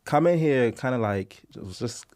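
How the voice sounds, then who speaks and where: A man's voice: mid-range voice, expressive, moderate pace, a little mellow, dry recording, clean studio sound. A young man from Ghana, a podcast guest, talking easygoingly and reflectively in a casual interview. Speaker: podcast guest, civil engineer.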